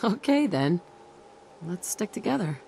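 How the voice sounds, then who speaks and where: A woman playing a casual, steady survivor heroine, giving short scripted replies in a video game. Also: mid-range voice, a little slow, gravelly, a little hiss or hum.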